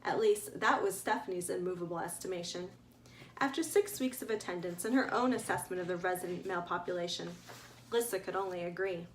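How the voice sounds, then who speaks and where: A woman author reading her adventure story aloud as an expressive narrator. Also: medium pitch, a little hiss or hum, rough recording.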